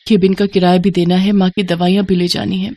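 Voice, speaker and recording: A woman's voice: fairly low pitch, flat delivery, fast talker, dark tone, lightly acted. A woman voicing a struggling, devout female lawyer in a weary, worried manner. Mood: despondent. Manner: weary, despondent.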